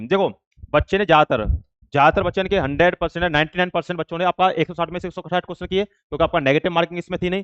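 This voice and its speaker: A man's voice: fairly high pitch, no echo, muffled recording. A man teaching exam students in an informal way, explaining step by step with repeated examples.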